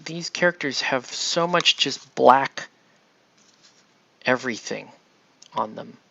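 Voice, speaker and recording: Gravelly, rambling man streaming while painting. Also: medium pitch, takes it slowly, highs cut off, a little hiss or hum. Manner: rambling, excitable, self-interrupting with laughs. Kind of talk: casual livestream chat while painting.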